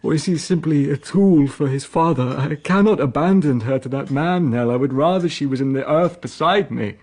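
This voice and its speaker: An actor playing an ailing, weary gentleman father in a period audio drama, grave and deliberate, gentle but anxious and protective. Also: on the high side. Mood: weary, fearful.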